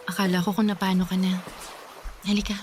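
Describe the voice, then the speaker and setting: A woman's voice: mid-range voice, level tone, fairly quick, over music, natural voice. An actress playing a caring, worried young girlfriend in a Filipino radio drama, solicitous and questioning, with a Filipino accent.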